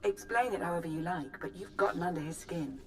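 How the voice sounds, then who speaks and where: A woman playing a cool, calculating intelligence chief in a video game cutscene, measured, clipped and dry. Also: fairly low pitch, expressive, faint music behind, lightly acted. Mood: grave briefing.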